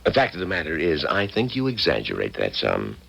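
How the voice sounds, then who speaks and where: An actor playing a gruff, stubborn small-town doctor in a 1970s radio drama, with a folksy, plain-spoken manner. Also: male voice, very deep voice, huge pitch swings, fairly quick, a bit bright, heavily acted. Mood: curt, firm.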